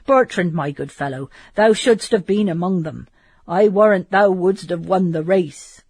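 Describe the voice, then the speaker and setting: A woman's voice: fairly low pitch, a little slow, husky, no room sound. A woman voicing a boastful, jovial male soldier in an audiobook reading. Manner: loud, swaggering, laughing at his comrade.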